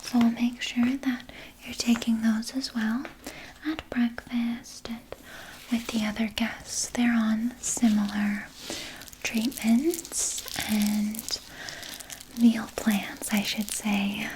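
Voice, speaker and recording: A woman voicing a sly, doting vampire nurse in a breathy, soothing whisper for an ASMR roleplay. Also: on the high side, little pitch movement, slight background noise, poor audio. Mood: soothing, caring. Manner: slow, soothing, coaxing, softly articulated. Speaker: ASMR performer.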